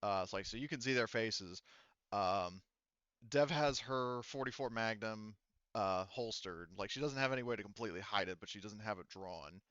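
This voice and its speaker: A low, smooth male voice narrating a tabletop roleplaying game as game master. He feels his way through sentences haltingly. The audio is close and dry but a little dull. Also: a bit bright, dry recording. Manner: hesitant, searching for words as he narrates.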